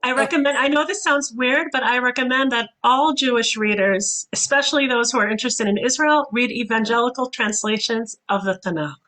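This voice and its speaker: A woman whose first language is Hebrew, a guest author talking animatedly on a video call with quick restarts. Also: fairly high pitch, fairly bright tone. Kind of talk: casual panel discussion.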